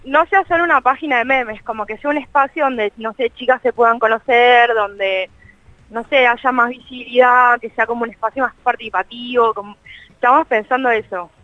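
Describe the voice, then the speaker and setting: A woman's voice: a little high, moderate pace, no room sound, phone-quality sound. A young Argentine woman chatting casually as an interview guest, with a colloquial, slangy and self-deprecating manner. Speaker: interview guest, co-creator of a meme page. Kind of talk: casual chat in a radio interview.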